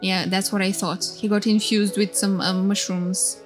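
A woman giving casual, excited reaction commentary as a streamer, playful and gushing. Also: medium pitch, moderate pace. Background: game trailer music.

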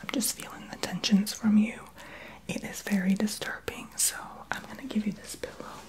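A man's voice: high voice, moderate pace, close to an everyday voice, poor audio. A young man voicing a sassy, unqualified therapist in a whispered ASMR roleplay. He whispers blunt, sarcastic remarks close to the mic in a small home office. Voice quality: soft unvoiced whisper. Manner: blunt, sarcastic, gossipy sass. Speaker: ASMR creator.

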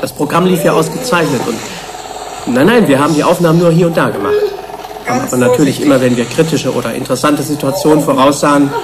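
An actor playing a defensive, grieving research psychologist in a German radio drama, explaining in a measured way. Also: male voice, fairly high pitch, over a noisy crowd, natural voice. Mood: explanatory, subdued.

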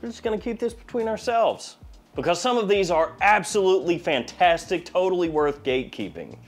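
A man's voice: high-pitched, expressive, fairly quick. A man in his forties with a slight head cold, giving a casual, jokey product review as a video host. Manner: playful, jokey, wry asides. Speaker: fragrance review channel host. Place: small indoor studio.

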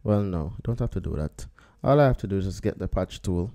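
A man with a low, mellow voice walks through a photo edit on screen. He talks in an easy, unhurried way, thinking aloud as he clicks through each step. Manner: relaxed, thinking aloud while working. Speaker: photographer teaching photo editing. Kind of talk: screen-recorded software tutorial.

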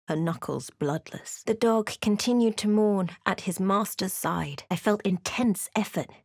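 Measured, dramatic fantasy adventurer. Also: female voice, fairly low pitch, no room sound, clean studio sound. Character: female fantasy adventurer recounting her encounters, wry and intense. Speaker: video game voice actor. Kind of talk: scripted fantasy game narration and character lines.

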